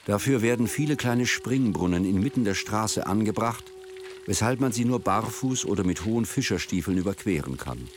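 A man reading a scripted, descriptive radio narration in a measured storytelling manner. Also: lowish voice, expressive, slight background noise. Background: music.

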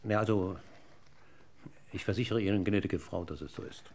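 An actor playing a courteous but relentlessly needling police commissioner in a radio crime drama, formal and deliberate. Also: male voice, deep voice, darkish tone, a little hiss or hum. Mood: evasive, courteous.

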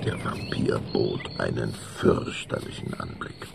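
A man's voice: extremely low pitch, a little slow, creaky, very noisy. A man narrating a children's spooky radio play in a theatrical, suspenseful way. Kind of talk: dramatic scripted narration of children's horror story.

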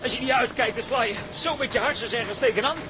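An actor playing a gruff, loyal tugboat crewman in a radio drama. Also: very high-pitched, fast, background noise. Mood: grumbling.

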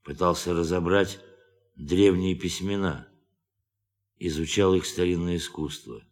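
An actor in an audio drama playing a brooding, idealistic astronaut who has turned killer, speaking in a measured, deliberate way. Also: male voice, deep voice, big-room sound. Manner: measured, deliberate, long pauses. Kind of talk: Russian audio drama acting.

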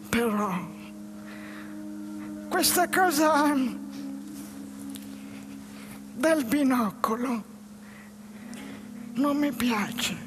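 Lonely melancholy man, high-voiced stage monologue. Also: background noise. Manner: slow, halting phrases with pauses. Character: lonely melancholy jobless man, dreamy and lost. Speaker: stage actress. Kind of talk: theatrical monologue. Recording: music behind the voice.

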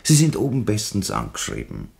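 An actor playing an unctuous, condescending government official in a radio play, wheedling with an Austrian accent. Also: male voice, fairly low pitch, huge pitch swings, a little fast, vocal fry, darkish tone, heavily acted. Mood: falsely soothing. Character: oily, patronising male government official, falsely soothing. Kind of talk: 1960s German radio play acting.

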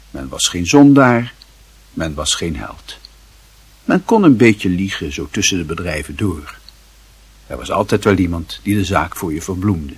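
A man acting as the grave, reflective narrator of a literary radio play, husky and measured in his solemn delivery. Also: low-pitched, dry recording, exaggerated voice. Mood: wry, reflective. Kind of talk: scripted dramatic narration.

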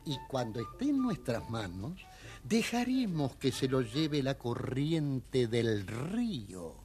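Fussy servile storybook chamberlain, animated. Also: male voice, mid-range voice, slow, soft background music. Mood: servile, sly. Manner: obsequious, fussy repetition. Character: servile, sly royal chamberlain of a fairy tale.

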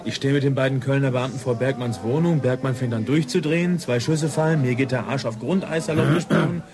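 Actor as no-nonsense cop in radio drama, quick colloquial delivery, crowd murmur behind. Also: male voice, medium pitch, lightly acted. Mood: matter-of-fact. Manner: colloquial, brisk. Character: blunt, matter-of-fact male police detective. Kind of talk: German radio play acting.

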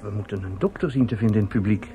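A man's voice: lowish voice, racing, over music, lightly acted. An actor playing a bustling, officious theatre man in a radio play, polite, fussy and hesitant, often repeating himself. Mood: concerned.

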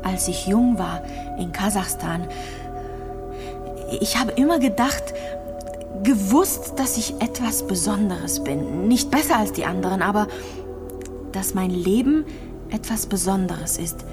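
Actress doing sick lonely young woman in radio play, wistful, keeps pausing and repeating, music under her. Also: mid-range voice, animated, lightly acted. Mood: nostalgic. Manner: halting, searching, repeats phrases. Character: gravely ill young woman in a clinic, wistful, resigned, lonely. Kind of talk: radio drama monologue, intimate recollection.

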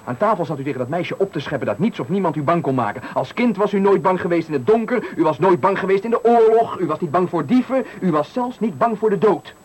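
An actor playing a menacing, coolly polite stranger who taunts and gives orders, in radio thriller acting. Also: male voice, sits high, lively intonation, fast, hardly any pauses, a little mellow, breathy edge. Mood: smug, taunting. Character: menacing male stranger, sadistic trickster at a bus stop. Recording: noisy 1980 radio play recording.

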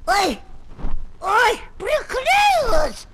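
A woman's voice: very high voice, huge pitch swings, very slow, heavily acted. A woman voicing a greedy, sly, venomous female snake in a children's audio drama, drawling and theatrical. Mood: indignant. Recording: noisy 1970s flexi-disc record.

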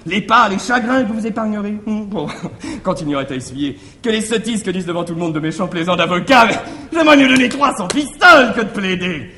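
An actor playing a wily, cajoling manservant, swinging between confiding murmurs and exclamations in a theatrical stage farce. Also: male voice, high voice, lively intonation, fairly quick, hardly any pauses, pushed and bright, exaggerated voice. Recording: slightly noisy 1961 theatre recording.

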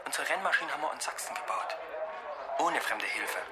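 A man's voice: fairly high pitch, lively intonation, brisk, over loud music, natural voice. An actor playing a defiant male East German athlete, answering back curtly. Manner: curt, retorting.

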